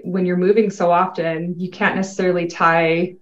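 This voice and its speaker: A Canadian woman with a lowish voice chats easily as an interview guest, upbeat and quick to laugh. Her voice comes through a dull, muffled line in an echoey room. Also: flat delivery. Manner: upbeat, laughs often, chatty. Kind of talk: casual interview chat.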